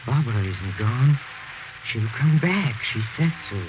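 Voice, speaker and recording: An actor playing an obsessed, grieving husband, intense and theatrical, in 1940s radio melodrama. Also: male voice, medium pitch, animated, unhurried, over music, natural voice. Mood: frantic, obsessed. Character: obsessed grieving husband, desperate, morbid, unhinged. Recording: very noisy, muffled 1940s radio recording.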